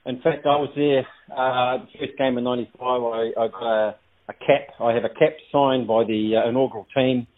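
A man's voice: mid-range voice, fairly even intonation, normal speed. New man on tinny phone line. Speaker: fan leaving a voicemail. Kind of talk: casual unscripted fan message. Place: phone voicemail.

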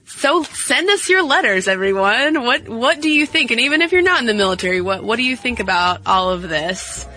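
Bright-voiced woman podcast co-host. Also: a little high, very animated, fairly quick, few pauses. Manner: chatty, addresses listeners directly. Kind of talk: casual podcast chat.

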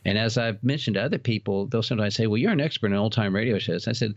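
A man's voice: lowish voice, fast, no echo, highs cut off. A middle-aged man chatting as an interview guest, explaining things like a keen enthusiast telling stories. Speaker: podcast guest, horror attraction and trading card company founder. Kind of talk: casual interview storytelling.

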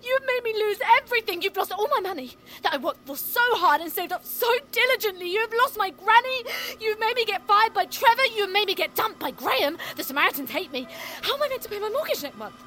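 A woman's voice: very high-pitched, expressive, fast talker, hardly any pauses, sharp tone, full character voice. An actress playing a distraught, self-loathing young woman who rants accusingly in an audio drama. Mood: furious, hysterical. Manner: anguished, accusatory ranting and muttering. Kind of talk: audio fiction acting.

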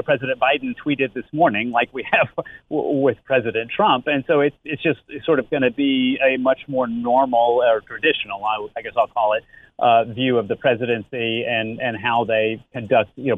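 A man's voice: medium pitch, fairly even intonation, brisk. Man on a thin phone line, explaining. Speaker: interview guest, company chief executive. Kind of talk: conversational news commentary. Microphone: remote phone-like connection. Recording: muffled, narrow phone-line sound.

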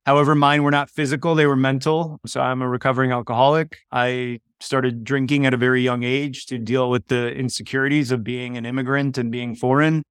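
Smooth-voiced man telling his own story. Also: mid-range voice, brisk, hardly any pauses, dry recording, studio quality. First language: Polish. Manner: relaxed, candid storytelling. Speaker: podcast guest, doctor. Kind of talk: casual interview answers.

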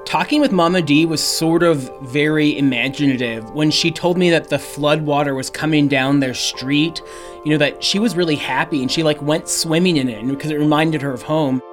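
A man's voice: a little high, moderate pace, faint music behind. A young adult male reporter explaining a local story in a casual way, with some fillers. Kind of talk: radio news feature narration.

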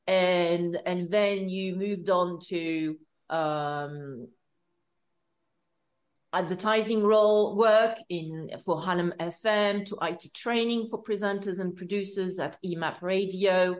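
A woman's voice: on the low side, steady tone, slow pace. A woman hosting her podcast in casual interview style, speaking hesitantly with many fillers and restarts. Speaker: podcast host. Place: home in London.

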